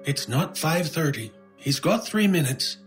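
A man's voice: a little high, animated, unhurried, soft background music. A man playing a mild, nervy bookstore clerk with a hesitant, dryly joking manner in a radio drama. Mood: reluctant. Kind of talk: radio drama dialogue, conversational.

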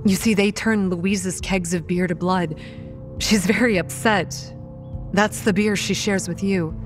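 A young woman playing a wry campground manager, telling a horror story in a measured, scripted first-person style. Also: mid-range voice, fairly even intonation, natural voice. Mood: cautious. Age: young adult. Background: music.

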